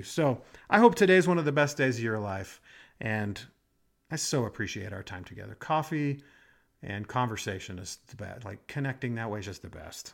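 A man's voice: on the low side, creaky. A man hosting a solo podcast, talking energetically and emphatically in a casual, conversational way with frequent fillers. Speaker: podcast host. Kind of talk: solo motivational podcast talk.

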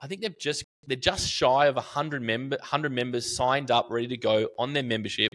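A man's voice: medium pitch, a little fast, fairly bright tone. A middle-aged Australian man, a podcast guest, talking casually and animatedly, telling stories with restarts and fillers. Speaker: podcast guest, marketing educator. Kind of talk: casual interview chat.

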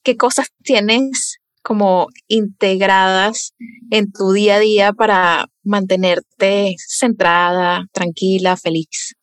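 A woman's voice: mid-range voice, steady tone, slow, dry recording. A woman hosting an interview, affirming her guest and asking layered questions about wellbeing. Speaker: podcast host.

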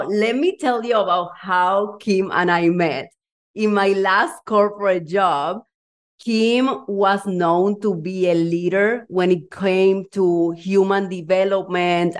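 A woman hosting a podcast as a coach, interviewing a guest in an enthusiastic, effusive way. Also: mid-range voice. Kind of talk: warm interview chat.

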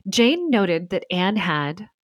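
Female narrator, mid register, for scripted history podcast. Articulate, measured storyteller, very dry sound. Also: medium pitch, big pitch swings, no echo.

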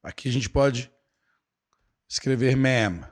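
A man's voice: mid-range voice, slow. A man with a Brazilian Portuguese accent teaching a live online English lesson, explaining playfully and reading viewer comments. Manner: animated, playful, explaining with imitations.

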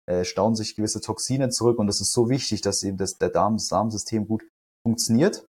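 A man's voice: lowish voice, darkish tone, husky. A man talking as a health and nutrition coach in a podcast conversation, explaining earnestly and with enthusiasm.

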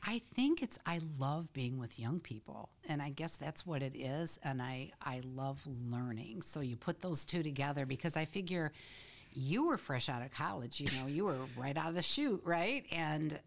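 A woman's voice: low voice, animated, normal speed, gravelly. An older woman, a veteran teacher, speaking as a guest in a casual podcast interview, reflective and playful.

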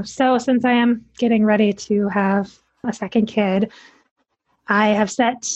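A woman's voice: fairly high pitch, darkish tone, highs cut off. A woman speaking as a dietitian guest on a podcast, answering in a direct, earnest way.

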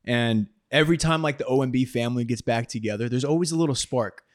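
A man's voice: mid-range voice, quick pace, no echo, clean studio sound. A young man hosting a podcast, talking in an easygoing way with lots of yeahs and laughs. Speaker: podcast host and actor. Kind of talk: casual podcast chat.

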